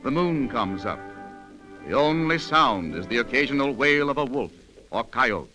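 A man's voice: medium pitch, lively intonation, unhurried, poor audio. A man narrating a 1930s radio drama in a dramatic, formal manner. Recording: old radio broadcast with faint music.

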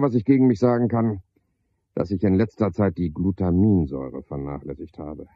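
An actor playing a pedantic, misanthropic night porter in a radio drama, a man with a husky, gravelly voice and a pompous, sardonic manner. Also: low-pitched, no echo. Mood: scornful, ranting. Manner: pompous, sardonic, ornate wording. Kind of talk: 1970s German radio drama monologue. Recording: dull, dry 1970s radio recording.

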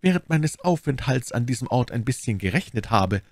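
A man's voice: mid-range voice, very animated, brisk, darkish tone, dry recording, pitched above the performer's own voice. A man voicing a scheming noblewoman who feigns innocence in an audiobook, with polished, formal, artfully naive speech. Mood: coaxing. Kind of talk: audiobook character dialogue.

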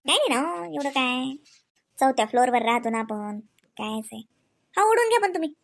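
A woman plays a young housewife and mother in a domestic comedy cartoon. Her voice sits high, and she talks in a chatty, coaxing, practical way. Her Marathi is homely, with a Varhadi accent from Vidarbha. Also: lively intonation, moderate pace, creaky. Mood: dismissive.

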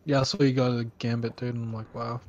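A man's voice: medium pitch, little pitch movement, fast, dull audio. A man, down with a sniffle, teasing his friend and laughing often in casual voice chat. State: sick with a sniffle.

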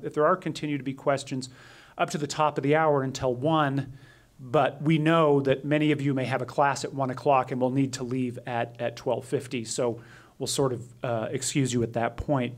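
Middle-aged man, somewhat higher voice, moderator, fillers, podium mic. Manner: many fillers, organized and procedural. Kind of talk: moderating a panel. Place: university lecture room.

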